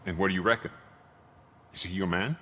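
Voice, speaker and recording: An actor playing a shifty, grumbling car repair shop owner in a video game, raspy, wry and defensive. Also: male voice, low-pitched, steady tone, brisk, background noise, natural voice. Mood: resigned, confiding. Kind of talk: video game cutscene acting. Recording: long hall-like reverb.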